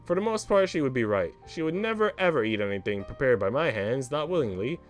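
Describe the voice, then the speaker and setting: A man's voice: medium pitch, very animated, a little fast, faint music behind, natural voice. Man putting on a wry, worldly parlour maid. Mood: matter-of-fact. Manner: dry, unruffled, sardonic.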